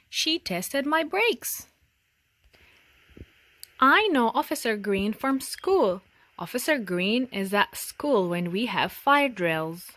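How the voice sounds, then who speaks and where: A woman reading a picture book aloud for young children in a deliberate, expressive storytelling manner. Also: on the high side, no echo.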